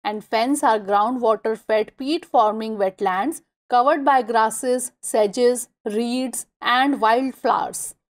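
Indian english woman reading study notes, steady list-by-list delivery, a bit high pitched. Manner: even, measured reading of list items. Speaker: exam-prep lecturer. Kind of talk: scripted narration over slides.

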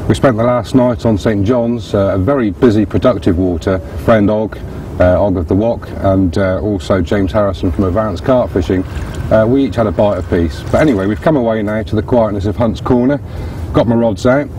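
A man in his forties explains a fishing setup in a steady, practical way while seated on a grassy bank. His voice is warm and mellow, picked up from a little way off. A faint outdoor hum sits behind him. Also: lowish voice, brisk. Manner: steady explanatory, talks through hands-on steps. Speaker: host presenting fishing tactics. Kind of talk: outdoor instructional demonstration. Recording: distant outdoor mic with faint background noise.

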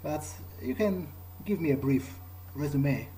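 A man improvising in character during a game playthrough, speaking in a measured, deliberate, hesitant manner. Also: on the high side, lively intonation, a crowd in the background, poor audio. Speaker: gamer role-playing his character.

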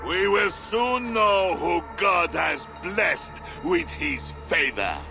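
Stern king booming slow over music, gravelly edges in a game cutscene. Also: very animated, full character voice. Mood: proclaiming. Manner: loud, slow, declamatory. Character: pious, menacing medieval king, stern middle-aged man. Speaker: king presiding over a trial. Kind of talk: video game cutscene acting.

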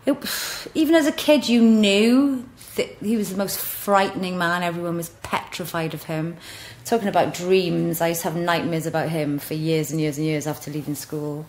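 Blunt Welsh woman, lower voice. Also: steady tone, normal speed, hardly any pauses, a little mellow. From Wales. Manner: blunt, frank storytelling with easy laughs. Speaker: guest. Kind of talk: casual chat. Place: office.

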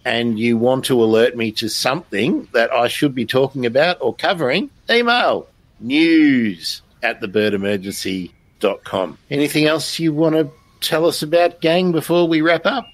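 A man's voice: medium pitch, lively intonation, moderate pace, no echo. A man hosting a live stream in a casual, chatty, self-deprecating way. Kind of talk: casual chat.

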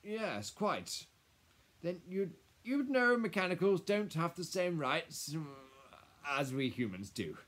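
Man acting a nervous, stammering lawyer, pitched up. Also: very animated, slow, fairly bright tone, heavily acted. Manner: stammering, hesitant, officious. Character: nervous, deferential, fussy male lawyer.

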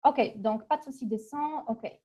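A middle-aged woman from Poland with a Polish accent, presenting an informal webinar in a halting, conversational way. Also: a little high, warm tone. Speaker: university international relations manager, webinar presenter. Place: small office, indoors. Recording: dull, lo-fi webcam audio.

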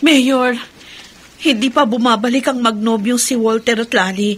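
A woman's voice: fairly high pitch, fairly even intonation, moderate pace, fairly bright tone, close to an everyday voice. An actress playing a polite, worried village mother in a horror radio drama, with music behind her.

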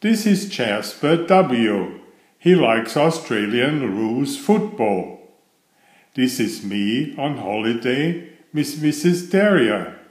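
A man reading a children's picture book aloud in a deliberate, expressive storytelling manner. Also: mid-range voice, echoey room.

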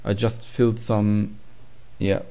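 Male tutorial presenter: low pitch, gravelly fry, plain matter-of-fact explaining. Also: flat delivery, slow, dark tone. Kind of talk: informal screen-recorded code walkthrough.

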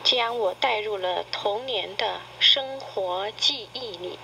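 Very slow woman reciting over noisy tape. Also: medium pitch, gravelly, bright, forceful tone. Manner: poetic, measured, sentimental. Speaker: narrator. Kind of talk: slow sentimental radio-drama recitation of an essay. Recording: noisy cassette tape playback.

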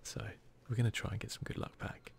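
A man softly giving casual ASMR commentary over a video game, often slipping into a whisper, with a self-deprecating manner. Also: fast talker. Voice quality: soft-spoken, often whispery. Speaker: gaming video host.

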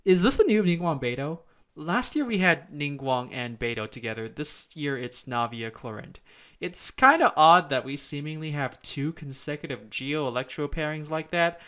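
A man's voice: a little high, expressive, normal speed, bright, forceful tone. A man hosting a video game review, talking in a casual, opinionated way with conversational, emphatic phrasing.

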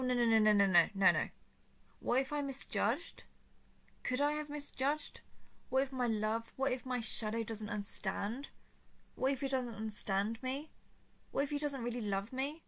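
A woman performs an obsessive female dragon who has taken her love captive. Her high voice is breathy and creaky, and she speaks slowly. She repeats herself pleadingly, unstable between tenderness and desperation, as in an intimate roleplay audio drama. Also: a bit bright, exaggerated voice. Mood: anxious, pleading. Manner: pleading, repetitive, swinging between tender and frantic.

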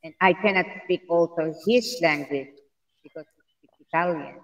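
A woman from the Philippines with a Filipino accent, chatting haltingly on a livestream and searching for her words. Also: lowish voice. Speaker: livestreaming artist, host. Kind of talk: casual chat on a livestream call. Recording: echoey, dull livestream call audio.

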